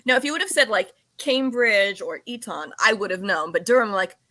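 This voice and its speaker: A woman's voice: medium pitch, a little fast, pushed and bright, dry recording. A young woman with a Southern Californian accent, co-hosting a chatty interview and talking in a laughing Valley Girl lilt. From United States. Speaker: podcast co-host. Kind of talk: casual interview chat.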